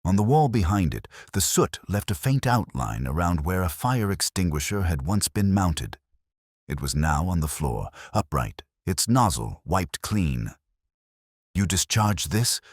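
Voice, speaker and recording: A man narrating a genteel comic mystery story in a measured, literary and dryly understated manner. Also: low voice, big pitch swings, no room sound, studio quality.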